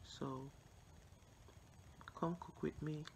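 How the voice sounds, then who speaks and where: A woman in her thirties chatting casually to her vlog audience in halting, unhurried phrases, recorded on a phone at home. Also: low voice, steady tone, vocal fry, darkish tone. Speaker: vlogger. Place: small indoor rooms at home, kitchen. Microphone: phone at arm's length. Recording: noisy handheld phone recording with room ambience.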